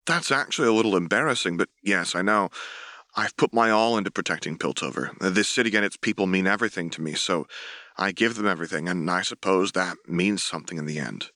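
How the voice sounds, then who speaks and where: A man voicing an earnest, self-effacing young military officer in a formal, measured way for a dramatic audiobook. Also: low-pitched, bright, forceful tone, no echo, studio quality. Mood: modest, formal. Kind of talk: dramatic audiobook dialogue reading.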